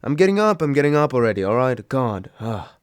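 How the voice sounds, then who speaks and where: Roleplay audio drama: male actor as a grumbling, sarcastic young man, reluctant roommate with a soft spot. Average pitch, very dry intimate sound. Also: big pitch swings, brisk, clean tone, dry recording, close to an everyday voice. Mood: grudgingly giving in. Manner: grumbling, sighing, exasperated. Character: grumpy sarcastic young male roommate, secretly caring, woken from sleep. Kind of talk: intimate roleplay audio drama, one side of a phone call.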